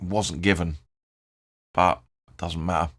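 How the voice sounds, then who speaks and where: A man with a hoarse, croaky voice hosting his own fan channel, rambling through post-match thoughts, correcting himself and swearing freely. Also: low-pitched, steady tone, unhurried, a bit bright. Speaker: football fan channel host. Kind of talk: casual post-match video commentary.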